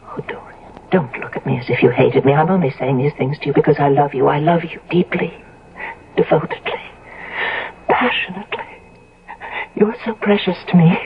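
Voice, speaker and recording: An actress playing a devoted, proper young fiancée in a 1970s radio drama, with measured, emotive and formal phrasing. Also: female voice, low voice, a little hiss or hum. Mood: tender, fervent. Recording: dull lo-fi old radio broadcast.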